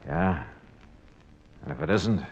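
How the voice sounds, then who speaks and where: Hardboiled American investigator, low, lively intonation, wry, slangy, clipped, 1950s radio drama. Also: male voice, takes it slowly, breathy edge, heavily acted. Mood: cool probing. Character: wry, cool-headed hardboiled male insurance investigator. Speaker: lead actor and narrator. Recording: dull 1950s radio recording, music behind.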